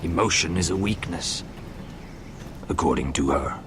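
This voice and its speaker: A grave, deep-voiced northern warrior. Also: male voice, background noise. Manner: grave, measured and worried. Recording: game audio with music score.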